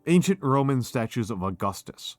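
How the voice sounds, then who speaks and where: A man's voice: mid-range voice, very animated, moderate pace, no room sound, soft background music. A drunk man streaming a casual chat, rambling with stumbling restarts.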